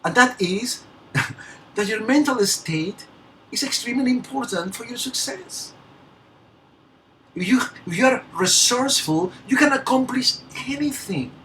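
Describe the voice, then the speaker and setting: An unhurried motivational speaker with a Peruvian Spanish accent, and the voice swings widely up and down for emphasis. Spanish is their first language, and they break into small laughs as they urge the listener on. The mic sits at a distance, with faint noise behind. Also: medium pitch, expressive, bright, forceful tone. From Peru. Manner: earnest and emphatic, with frequent small laughs and restarts. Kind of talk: motivational speech.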